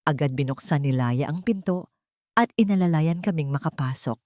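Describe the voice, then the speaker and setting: Woman, low voice, dry close sound, scripted dramatic story narration. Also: moderate pace, darkish tone, no room sound.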